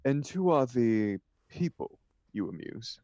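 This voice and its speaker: A man's voice: medium pitch, sing-song, takes it slowly, warm tone, no echo, dull audio. A man reading the part of a flippant, idle upper-class bachelor in a stage comedy, with an arch, mock-serious declamatory manner. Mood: breezy, arch.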